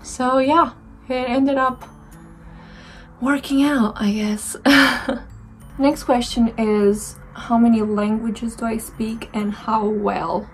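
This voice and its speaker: Gravelly-voiced young Romanian woman, reflective. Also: fairly high pitch, fairly even intonation, slow pace, faint music behind, poor audio. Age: 20s. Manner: reflective, rambling, many fillers and restarts. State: dry throat. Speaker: beauty vlogger. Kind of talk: casual chat while doing makeup.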